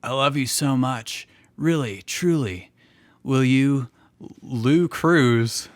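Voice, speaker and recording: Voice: mid-range voice, takes it slowly, dry recording, lightly acted. An amateur reader voicing an earnest, lovestruck suitor in a comic story reading. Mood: tender, earnest.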